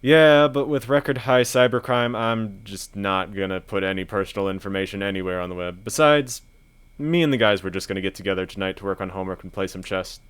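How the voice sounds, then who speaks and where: A man's voice: low voice, fairly quick, clean tone, close to an everyday voice. A man playing a laid-back, wisecracking student gamer in a casual amateur audio drama. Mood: resigned. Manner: casual, joking.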